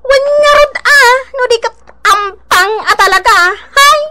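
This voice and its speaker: A woman's voice: very high voice, a little slow, sharp tone, full character voice. A woman playing a feisty, defiant village gossip with an Ilocano accent in a radio drama, scoffing and exclaiming theatrically. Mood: gleeful, mocking.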